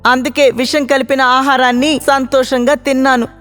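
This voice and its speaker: A woman voicing a loyal, gentle male pet monkey in an animated story, speaking earnestly. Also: sits high, normal speed. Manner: earnest, soft-spoken pleading. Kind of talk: cartoon voice acting. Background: faint background music.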